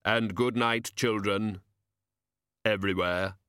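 Grave, deliberate Death, unhurried lines, read by a man. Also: fairly low pitch, fairly even intonation, a bit bright, clear, resonant tone, no room sound, studio quality. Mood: measured. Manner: slow, measured, formal. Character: Death, grave solemn skeletal male figure.

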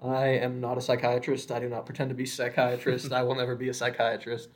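A man's voice: medium pitch, completely flat delivery, fast. Earnest young man giving advice. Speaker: medical student. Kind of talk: casual podcast discussion.

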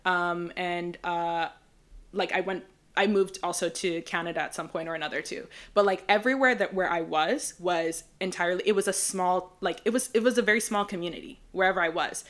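A woman's voice: fairly low pitch, a little fast, fairly bright tone. A young woman from the Bahamas, co-hosting a casual podcast chat, rambling and leaning on "like" a lot. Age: young adult. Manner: chatty, rambling, heavy use of "like". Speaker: podcast co-host.

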